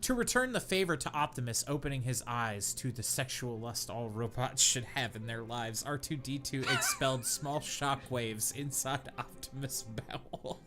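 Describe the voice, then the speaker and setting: A man's voice: medium pitch, normal speed, hardly any pauses. Young guy hamming up a bad story read-aloud, sarcastic, middling voice, faint music behind. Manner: reads with mock earnestness, breaks into laughter. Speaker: podcast host reading fanfiction aloud. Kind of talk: comedic dramatic reading with sarcastic asides.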